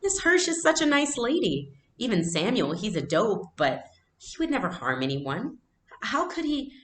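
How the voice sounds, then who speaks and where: A woman's voice: a little high, sing-song, moderate pace, fairly bright tone, roomy sound, slight background noise, pitched above the performer's own voice. A woman reading a storybook aloud, voicing an earnest, curious and worried young girl. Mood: worried questioning.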